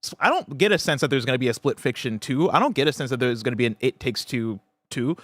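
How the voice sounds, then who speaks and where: A man's voice: medium pitch, expressive, fast, a bit bright, no echo. A man hosting a podcast in casual conversation, talking in an inquisitive way. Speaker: podcast host.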